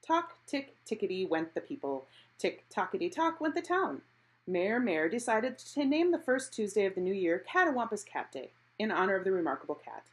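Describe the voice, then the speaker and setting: Animated woman reading a children's storybook. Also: mid-range voice, normal speed, rough recording. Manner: animated, acting out characters' exclamations. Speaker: picture book reader. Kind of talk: expressive children's storybook read-aloud.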